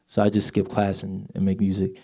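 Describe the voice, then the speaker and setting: Laid-back young Swedish-born man, low voice. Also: completely flat delivery, brisk, dark tone. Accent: light Swedish. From Sweden. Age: 20s. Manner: laid-back, many fillers like "you know". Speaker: singer guest. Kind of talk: casual interview chat.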